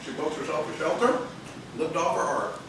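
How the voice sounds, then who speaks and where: Man acting brash young buddy, higher-pitched, echoey stage. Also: normal speed, close to an everyday voice. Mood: boastful, admiring. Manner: loose, slangy, boisterous. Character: brash, joking young husband and buddy, big-hearted. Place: theatre stage, videotaped live from a distance. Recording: echoey noisy theatre hall, distant camera mic.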